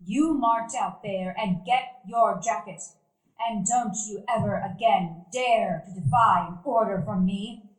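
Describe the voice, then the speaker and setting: A woman's voice: medium pitch, measured pace, lightly acted. A woman playing a stern no-nonsense sister. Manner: commanding, curt, scolding. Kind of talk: community theatre radio play acting. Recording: roomy event hall.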